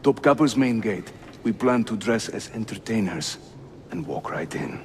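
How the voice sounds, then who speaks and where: A man's voice: fairly low pitch, moderate pace, a little hiss or hum, lightly acted. A man with a Turkish accent, playing a wry, spirited and loyal Ottoman assassin leader in a video game. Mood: urgent, firm. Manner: animated, wry. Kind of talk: cinematic game acting. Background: music.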